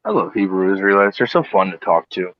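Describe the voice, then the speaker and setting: A man questioning others in an online audio room, measured with some stammering. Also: low voice, expressive, dry recording. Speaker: debate participant. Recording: dull lo-fi call audio.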